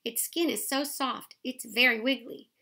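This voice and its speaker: A woman's voice: on the high side, normal speed, sharp tone. A woman voicing a curious, hungry little boy who tells jokes, asking eager questions in a storybook.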